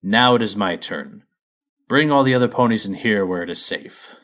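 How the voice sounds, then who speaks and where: A man's voice: on the low side, animated, moderate pace, a bit bright. A man voicing a lazy but good-hearted male dragon from a storybook in a plain, earnest manner. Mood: resolute. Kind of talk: storybook character voice.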